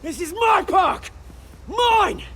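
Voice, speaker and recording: An actor playing a scrappy, cocky criminal with a bitter streak in a video game, with a British accent and slangy, theatrical swagger. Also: male voice, very high voice, expressive, slow, very noisy, full character voice. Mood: furious, menacing. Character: scrappy, volatile male criminal old friend, bitter and cocky.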